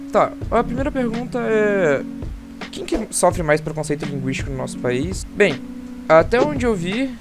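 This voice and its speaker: A Brazilian teenage boy with a notably high voice talks through a school project answer, rambling and restarting his sentences. Clear guitar music runs underneath him the whole time. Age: teens. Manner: rambling, hesitant explaining with restarts. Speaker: student presenter.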